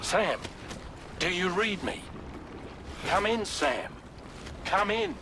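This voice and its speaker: A concerned, reassuring crewman from a video game. His voice sits a little high for a man, and there is clear vessel noise behind it. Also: big pitch swings, slow pace, full character voice. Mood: urgent. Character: concerned, reassuring male ship crewman on comms. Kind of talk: video game cutscene acting.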